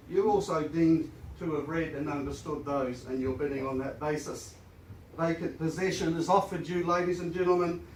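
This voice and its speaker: A man with a New Zealand accent, an auctioneer with a croaky voice, reading formal auction terms briskly and then selling the property with enthusiasm. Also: a little high, a little mellow. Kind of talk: formal auction preamble and sales pitch. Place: auction room with audience. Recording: echoey room, mic at a distance, faint noise.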